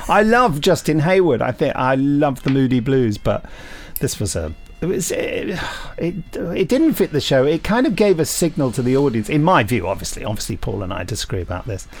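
Animated British man hosting a podcast. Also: mid-range voice. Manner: playful mock-pompous, theatrical. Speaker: podcast host. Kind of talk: casual comic banter.

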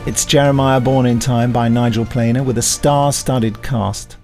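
A man's voice: medium pitch, steady tone, normal speed, over loud music. A man co-hosting a podcast, presenting in a wry, mock-pompous announcer manner. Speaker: podcast co-host. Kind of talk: mock-formal trailer presenting.

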